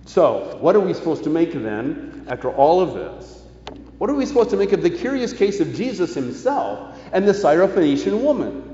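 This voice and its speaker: A man preaching a sermon in a deliberate, emphatic way, with rhetorical phrasing. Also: on the high side, sing-song, warm tone. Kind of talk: sermon, partly reading quoted news aloud. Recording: echoey church hall, slightly dull sound.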